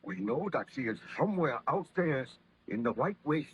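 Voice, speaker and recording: A man's voice: mid-range voice, very animated, normal speed, slight background noise, natural voice. Man playing a haunted baron, muffled vintage radio. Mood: grave, fearful. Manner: formal, melodramatic, anguished. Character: haunted, horrified baron scientist, weary and fearful. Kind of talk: 1930s radio drama acting.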